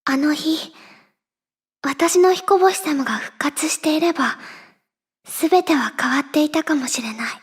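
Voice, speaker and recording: An actress voicing a wistful young woman in an anime audio drama, breathy and half-whispered. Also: sits high, fairly quick, lightly acted. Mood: wistful, regretful. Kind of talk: Japanese audio drama monologue.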